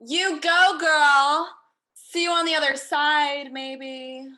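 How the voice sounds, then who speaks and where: A woman's voice: high voice, steady tone, slow pace, pushed and bright, slight background noise, full character voice. A woman playing a friendly, admiring young dancer in a screenplay table read. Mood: cheering.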